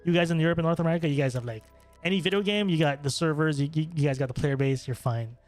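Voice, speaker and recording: A man's voice: on the high side, quick pace. A young man from the Philippines, streaming a game and chatting casually with viewers, rambling with many fillers and restarts. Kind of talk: casual gameplay stream chat. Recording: faint music behind voice.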